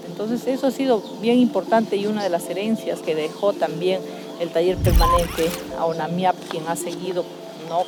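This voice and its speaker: A woman rights leader explains in a measured way, adding tag questions. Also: fairly low pitch. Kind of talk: documentary interview.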